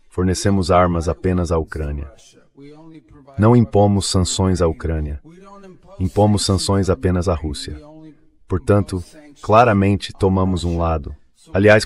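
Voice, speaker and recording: A deep male AI dubbing voice delivers political commentary. It sits clearly low in pitch, in the register of a discussion programme. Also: darkish tone. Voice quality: synthetic AI dubbing voice.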